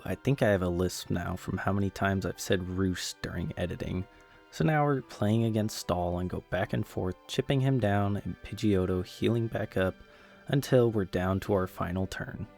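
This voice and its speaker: A man's voice: on the low side, creaky, a little mellow. A man narrating scripted gameplay commentary in a casual, wry, self-deprecating way. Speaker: gaming video creator and narrator.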